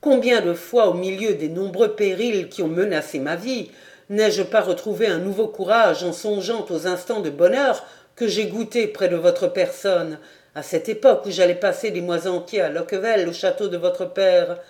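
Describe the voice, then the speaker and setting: A woman's voice: on the low side, normal speed, darkish tone, lightly acted. A woman voicing an earnest, resolute young nobleman who declares his feelings in a storybook reading.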